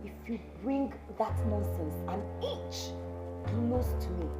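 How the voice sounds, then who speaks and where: A woman's voice: medium pitch, animated, slow pace. An actress playing a defiant young woman who confronts her friend's cruel sister. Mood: warning. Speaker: actress in a family drama. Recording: echoey room with music behind.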